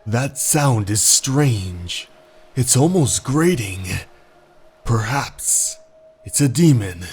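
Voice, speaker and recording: An older man voicing a grave, superstitious boat boss who speaks slowly and ominously. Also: mid-range voice, animated, darkish tone, slightly rough, heavily acted.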